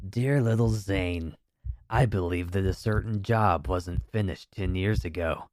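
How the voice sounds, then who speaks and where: A man's voice: sits low, darkish tone, dry recording. A man playing a smug, cruel conspirator in an audio drama, mocking and condescending.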